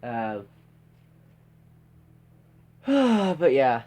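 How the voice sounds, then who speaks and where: A man's voice: medium pitch, huge pitch swings, very slow, mellow. A teenage boy with a stuffed-up nose, rambling through a story with lots of fillers and tag questions. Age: teens. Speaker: podcast host. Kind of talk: casual storytelling.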